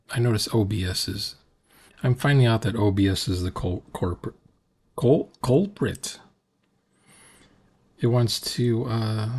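A man's voice: on the low side, breathy edge. A man in his sixties giving casual, unscripted reaction commentary in a sarcastic, grumbling way. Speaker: reaction video host. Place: small cluttered room at home. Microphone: desk mic, unfiltered.

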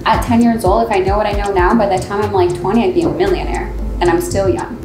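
A woman's voice: mid-range voice, fairly even intonation, fast. Young woman from Connecticut, casual filler-heavy chat, roomy room, music underneath. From Connecticut, USA. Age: young adult. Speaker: hairstylist. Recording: roomy sound with music behind.

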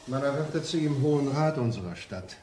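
An actor playing a polite, deferential council messenger in an audio drama, courteous and formal. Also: male voice, medium pitch, animated, a little fast, close to an everyday voice. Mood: polite, urging. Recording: roomy echo, faint background noise.

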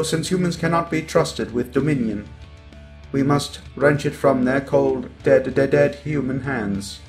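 Evil robot overlord, played by a man for audio roleplay: male, coldly superior, very measured pace, stuttering glitches, music underneath. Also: mid-range voice, close to an everyday voice. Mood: cold contempt. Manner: deliberate, glitching stutters on words. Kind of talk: scripted robot roleplay monologue.

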